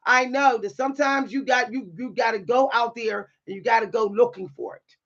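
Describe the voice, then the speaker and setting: Bold deep-voiced woman with a gravelly edge. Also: moderate pace, muffled recording. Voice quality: deep, at times loud voice. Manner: bold, sassy, dry humor, repeats phrases for emphasis. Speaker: spiritual content creator and writer. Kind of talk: motivational monologue to camera.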